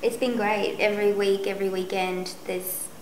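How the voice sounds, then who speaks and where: A woman's voice: medium pitch, steady tone, moderate pace, a little hiss or hum. Australian woman, young, mid voice, cheerful short phrases, roomy sound. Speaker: interviewee. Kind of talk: casual interview answers.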